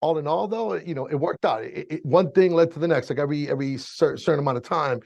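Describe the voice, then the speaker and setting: Middle aged american guy getting interviewed, talks super fast n slangy, gravelly fry in his voice. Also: a little high, darkish tone. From Miami, raised there, born in Chile. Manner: slangy, informal, frequent "you know" and "bro". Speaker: interview guest, music engineer. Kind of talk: casual storytelling.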